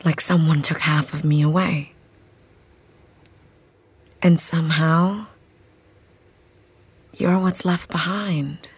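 An actress playing an anguished young woman in a vampire melodrama, breathy and husky, with halting, intensely dramatic delivery. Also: low voice, fairly even intonation, exaggerated voice.

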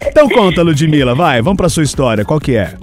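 A man hosting a radio call-in show, wisecracking and lively in his banter. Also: fairly high pitch, fast, soft background music. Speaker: radio host.

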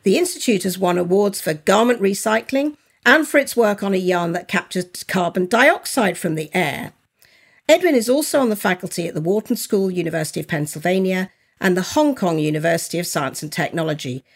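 A woman hosting an interview podcast, her voice sitting low for a woman. She introduces her guest and asks questions in a measured, articulate manner. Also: expressive. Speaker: podcast co-host.

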